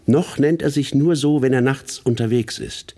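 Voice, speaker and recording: An actor playing a narrator in a radio play, speaking in a deliberate, literary manner. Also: male voice, mid-range voice, warm tone, lightly acted. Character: measured male narrator, correcting and introducing a rogue writer. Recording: studio radio play with guitar music.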